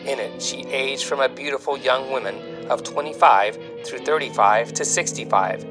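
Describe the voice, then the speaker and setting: A man with a mid-pitched voice presents a scripted film-magazine segment. His delivery is theatrical and tongue-in-cheek, with rhetorical questions set up for the listener. Guitar music plays clearly underneath. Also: moderate pace, low-quality recording. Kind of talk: scripted magazine-review narration.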